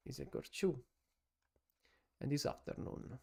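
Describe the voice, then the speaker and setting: Italian man as a live-stream sports analyst: gravelly, mellow, halting non-native English. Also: mid-range voice. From Italy. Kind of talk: casual live-stream commentary.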